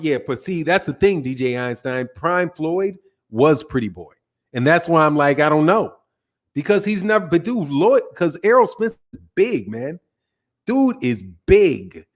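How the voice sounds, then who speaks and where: A man hosting a casual boxing livestream, reading viewer comments and arguing in loose, slangy banter that keeps trailing off and restarting. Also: mid-range voice. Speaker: boxing channel host.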